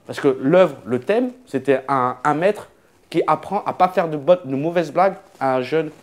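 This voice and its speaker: A man's voice: fairly high pitch, normal speed. A young adult man, a comedian guest, talking in a casual conversation and weighing his words carefully.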